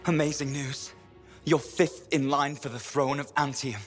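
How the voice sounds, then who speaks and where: An actor voicing a prim, fussy, gossipy courtier with an effusive manner in a video game cutscene. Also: male voice, medium pitch, steady tone, unhurried, a bit bright, soft background music, close to an everyday voice. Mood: fussy, excited. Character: fussy, gossipy male courtier, primly proper.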